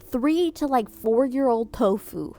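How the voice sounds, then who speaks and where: An animated young woman reads viewer submissions in a casual chat, her voice sitting a little high. Vietnamese was her first language. She laughs often, breaks off mid-sentence and starts over. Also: takes it slowly. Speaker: streamer reading viewer submissions.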